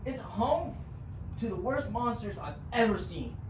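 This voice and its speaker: A woman's voice: fairly high pitch, big pitch swings, a little slow. A teenage girl playing a cowardly, stammering, gullible robber henchman with folksy talk, in a student reader's theater performance. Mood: terrified, refusing. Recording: distant, echoey, noisy room.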